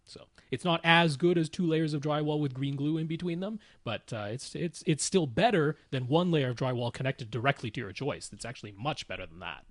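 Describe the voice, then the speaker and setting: A man's voice: fairly high pitch, fairly quick, hardly any pauses, dry recording, studio quality. A man co-hosting a podcast, casually explaining technical matters in a methodical, step-by-step way. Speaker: podcast co-host.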